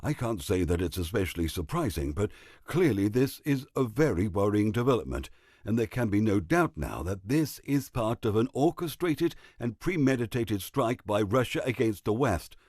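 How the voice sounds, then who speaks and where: A man playing a grave military expert, giving measured, ominous analysis in a mock news drama. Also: fairly low pitch, big pitch swings, darkish tone, slightly rough, dry recording, clean studio sound. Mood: worried.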